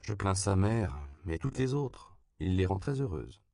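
A man voicing a sharp-tongued adult mother who speaks bluntly and mockingly. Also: low voice, animated, unhurried, vocal fry, smooth, no room sound, studio quality, exaggerated voice.

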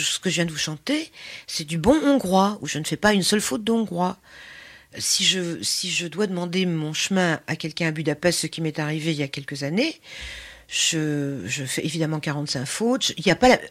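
An older woman, telling personal stories as an interview guest in an expressive, emphatic way. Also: fairly low pitch. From Paris, France. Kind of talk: conversational personal storytelling.

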